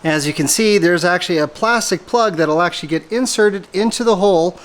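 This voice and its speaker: A man's voice: high voice, a little fast. A man presenting a methodical, step-by-step hands-on installation tutorial for a product he made. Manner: methodical, explanatory. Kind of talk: step-by-step hands-on how-to demonstration.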